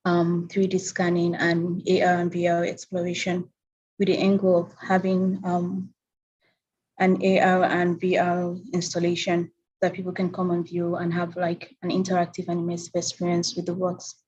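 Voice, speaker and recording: A young Nigerian woman whose first language is Hausa, giving a measured talk about her work at an online symposium over a video call. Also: lowish voice, completely flat delivery, a little mellow, muffled recording, a little hiss or hum. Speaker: presenting artist.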